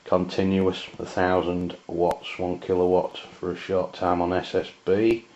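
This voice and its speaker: A man with an English accent giving a casual hands-on product review in a halting, matter-of-fact way. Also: low-pitched, completely flat delivery, a little mellow, a little hiss or hum. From England. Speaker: amateur radio reviewer. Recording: dull, lo-fi camera sound.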